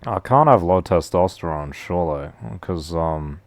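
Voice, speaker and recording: Deep-voiced man in slangy stream chatter. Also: lively intonation, measured pace, no echo. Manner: slangy, rambling, says "bro" a lot. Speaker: live streamer. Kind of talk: casual stream chat.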